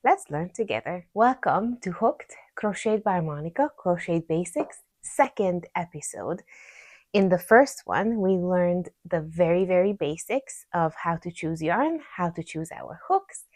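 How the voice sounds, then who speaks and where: A woman's voice: on the low side, lively intonation, a little slow, darkish tone, no room sound. A woman with a Hungarian accent teaching crochet step by step, patiently counting stitches aloud. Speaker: crochet instructor. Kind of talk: step-by-step tutorial.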